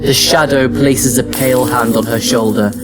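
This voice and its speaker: A man performs a wry, understated first-person narrator in a sci-fi audio drama. His voice sits at a medium pitch, and music plays clearly behind it. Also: steady tone, moderate pace, natural voice. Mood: hushed wonder. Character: ancient yet youthful, patient, wry male adventurer narrating his story.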